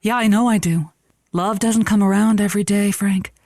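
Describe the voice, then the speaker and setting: A woman plays a brash lonely-hearts radio host in a comedy audio drama. She talks conversationally, teasing and blunt, with a wry, motherly push behind her bawdy advice. Her pitch sits in an ordinary middle female range. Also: steady tone, normal speed, a little mellow, dry recording, studio quality, natural voice. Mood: blunt, prodding.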